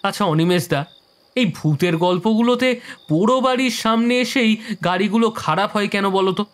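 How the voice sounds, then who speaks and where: A man playing a cheeky young listener in an audio drama, teasing a storyteller with sarcastic, drawn-out emphasis. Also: high voice, close to an everyday voice. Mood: teasing, mocking.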